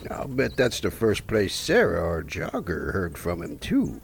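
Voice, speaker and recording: An actor playing a chatty, folksy, wry gravedigger who narrates an audio play to the listener. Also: male voice, wildly swooping pitch, unhurried, heavily acted. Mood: wry, reminiscing. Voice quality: low, gravelly. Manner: chatty, rambling, musing. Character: talkative folksy small-town male gravedigger narrator, wry, unhurried.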